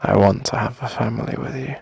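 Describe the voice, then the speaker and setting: A man playing a tender, reassuring boyfriend in an intimate ASMR roleplay, speaking softly and close to the mic with gentle, coaxing pauses. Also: steady tone, no echo. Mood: tender, hopeful. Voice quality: husky, breathy, creaky low murmur. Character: tender, reassuring boyfriend longing to start a family. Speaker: ASMR audio roleplay performer. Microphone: close dry microphone.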